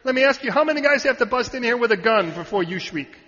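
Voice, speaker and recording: A man preaching a sermon, animated and joking with the audience, sometimes shouting out a word. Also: very high voice, fast talker, slight background noise. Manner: animated, shouting at peaks, jokey asides to the audience. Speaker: pastor. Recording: muffled hall recording.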